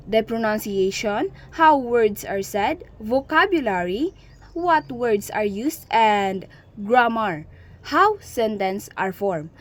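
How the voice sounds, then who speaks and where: Young Filipino woman giving a class report. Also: fairly high pitch. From Philippines. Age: young adult. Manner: frequent restarts, mixes in Tagalog phrases. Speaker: student reporter.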